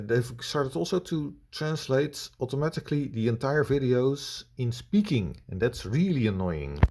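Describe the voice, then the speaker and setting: A man with a Dutch accent giving unscripted critical commentary in a halting, blunt, complaining way. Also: lowish voice, a little mellow. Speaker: video reviewer.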